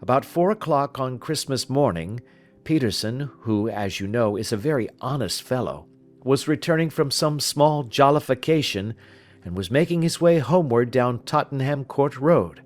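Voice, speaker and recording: A man voicing a confident, analytical gentleman detective in an audiobook, speaking in a precise, measured, lecturing manner. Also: mid-range voice, expressive, natural voice. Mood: matter-of-fact recounting. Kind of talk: audiobook character dialogue.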